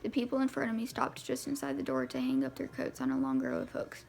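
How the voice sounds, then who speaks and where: A woman's voice: extremely low pitch, sing-song, fast talker, vocal fry, a little mellow. A woman reading a first-person novel aloud as an amateur, informally and with stumbles over the words. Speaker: amateur audiobook reader.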